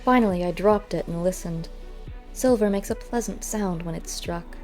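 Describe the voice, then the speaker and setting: A woman reading a first-person story aloud as an audiobook narrator, measured and expressive. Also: lowish voice. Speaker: audiobook reader. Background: faint music.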